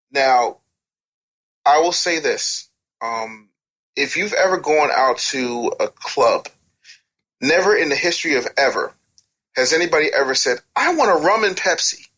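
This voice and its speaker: A man's voice: mid-range voice, lively intonation, measured pace, pauses often, sharp tone, poor audio. A man speaking as an interview guest, telling casual stories and giving blunt, joking opinions in slangy speech. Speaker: interview guest, music business and branding adviser. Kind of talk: animated casual storytelling and opinion.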